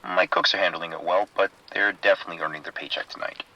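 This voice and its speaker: A man playing a harried but good-humored casino kitchen chief in an audio drama, wry and informal, with a husky voice. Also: sits low, fairly even intonation, quick pace, pushed and bright, highs cut off, full character voice. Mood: wry, weary. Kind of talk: scripted horror audio drama radio exchange.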